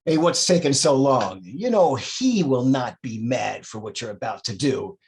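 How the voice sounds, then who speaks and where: A man's voice: mid-range voice, lively intonation, measured pace, a little mellow, a little hiss or hum. A man playing a taunting, contemptuous demonic alter ego of about forty in a film script table read. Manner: goading, insinuating.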